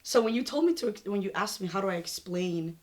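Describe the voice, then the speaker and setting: A woman's voice: medium pitch, quick pace, a little mellow. A woman co-hosting a podcast, chatting casually and playfully poking fun at herself. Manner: playful, self-mocking. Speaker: podcast co-host. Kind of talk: casual chat.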